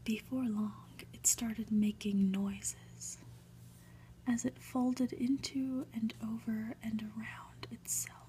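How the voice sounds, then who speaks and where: Woman, whispered ASMR story reading, breathy, fairly high, slow, gentle, faint noise behind. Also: steady tone. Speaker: ASMR reader.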